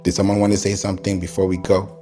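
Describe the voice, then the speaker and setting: Low-voiced man who grew up in Kenya, hosting a casual live audio room chat, faint music underneath. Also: monotone, very fast, dark tone. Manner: welcoming, thanks listeners often. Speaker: host.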